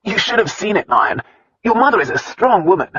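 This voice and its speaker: A man doing a teasing, tender young gentleman. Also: high-pitched, very animated, brisk, breathy edge, no echo, pitched above the performer's own voice, heavily acted. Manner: lightly raised, teasing and soothing. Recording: slightly muffled dry recording.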